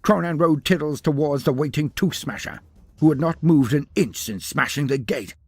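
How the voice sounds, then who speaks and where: A man's voice: fairly high pitch, normal speed, slightly rough, dry recording. A man narrating a scripted fantasy tale in a theatrical, florid and wry manner. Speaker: storyteller narrator.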